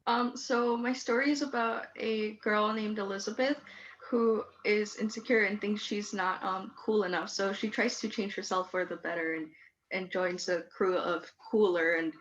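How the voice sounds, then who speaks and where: A woman's voice: mid-range voice, steady tone, normal speed, fairly bright tone, a little hiss or hum. A teenage American girl, a student being interviewed on a video call, speaking hesitantly. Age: teens. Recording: dull video-call audio.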